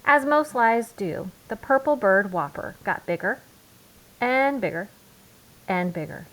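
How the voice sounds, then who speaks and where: A woman narrating a children's storybook aloud in a deliberate way, with emphatic pauses. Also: medium pitch, animated, a bit bright, poor audio. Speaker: children's book reader.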